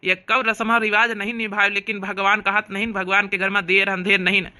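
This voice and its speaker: A man's voice: high-pitched, little pitch movement, fairly quick, sharp tone. A man voicing an earnest, emphatic young village brother with an Awadhi accent in a cartoon comedy. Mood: reproachful. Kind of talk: cartoon comedy drama dialogue.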